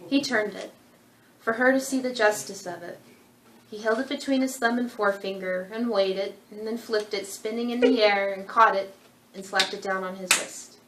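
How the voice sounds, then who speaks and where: A woman's voice: mid-range voice, fairly bright tone. A woman reading scripted literary narration as the narrator of a dramatic story. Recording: roomy sound with faint background noise.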